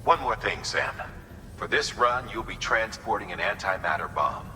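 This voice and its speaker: An actor voicing a grave, commanding operations officer in a video game, giving measured, serious instructions. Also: male voice, on the low side, expressive, bright, forceful tone, slight background noise. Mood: cautioning. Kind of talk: dramatic video game mission briefing.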